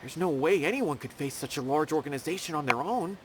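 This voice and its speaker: A man's voice: fairly high pitch, animated, a little fast, background noise, lightly acted. A man voicing an earnest, anxious, loyal junior investigator in a video game, speaking expressively. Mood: grave, troubled. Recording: background music.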